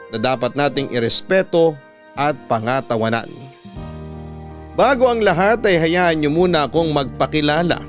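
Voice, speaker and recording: A man hosting a radio show, reading a letter as scripted storytelling with measured, dramatic phrasing. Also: medium pitch.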